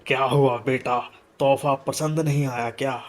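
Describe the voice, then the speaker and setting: A man puts on a confident holy man for an animated moral tale. He sounds authoritative and unhurried, with a taunting edge toward evil. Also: medium pitch, natural voice. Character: confident holy man who vanquishes ghosts.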